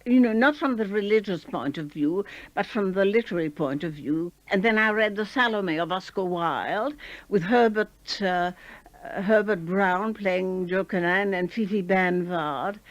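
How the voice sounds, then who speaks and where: A woman's voice: mid-range voice, unhurried, no room sound. An older woman, a former radio host, chattily reminiscing in an interview. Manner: chatty, warm reminiscing with fillers.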